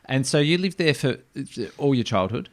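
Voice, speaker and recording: A man hosting a podcast interview, talking warmly with frequent ums and restarts. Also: mid-range voice, animated, normal speed, no room sound. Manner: warm, hesitant with many ums and restarts. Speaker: podcast host.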